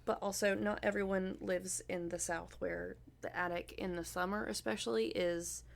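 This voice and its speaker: A woman's voice: on the low side, fairly even intonation, moderate pace, gravelly. A woman in her thirties co-hosting a casual podcast who answers her friend with wry, dry remarks. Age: 30s. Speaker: co-host.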